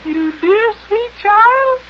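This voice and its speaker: A lovesick widow lady for an old radio serial. The voice is extremely high and slow, cooing and gushing with affected baby talk. The recording is muffled. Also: expressive, slight background noise, heavily acted. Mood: coquettish. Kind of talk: 1950s children's radio serial acting.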